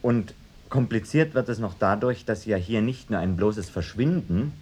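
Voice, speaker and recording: Actor as low-voiced, pedantic professor. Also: male voice, expressive, darkish tone, close to an everyday voice. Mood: pedantic, measured. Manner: hesitant, deliberate, academic hedging. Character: pedantic male university professor, parapsychology expert witness. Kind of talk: mock documentary radio drama, expert statement.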